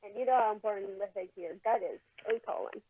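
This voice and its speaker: A woman's voice: medium pitch, fast talker, breathy edge, no echo. A woman co-hosting a podcast as an amateur storyteller, stumbling now and then, heard through a lo-fi phone-like connection. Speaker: podcast co-host and amateur writer.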